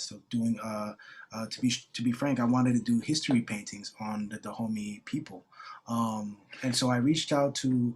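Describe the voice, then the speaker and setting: A young man from New York speaks over a video call in an even, level voice that barely rises or falls. He talks casually and haltingly, with many fillers and restarts. Faint noise sits under the call. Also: on the low side. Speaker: former grantee. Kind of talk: casual online info session talk.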